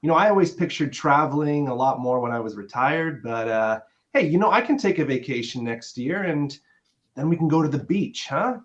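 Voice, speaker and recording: A man's voice: a little high, animated, normal speed, close to an everyday voice. A man acting an earnest, awkward, conventional boyfriend with a hesitant delivery, in a dramatic script reading. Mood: awkwardly upbeat. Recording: dull, lo-fi sound.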